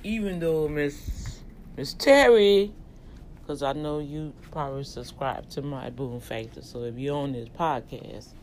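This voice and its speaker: Louisiana-accented host, unhurried, casual teaching-style monologue. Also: medium pitch, slight background noise. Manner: talks slowly and deliberately on purpose, in a teaching tone. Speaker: podcast host and tax office manager.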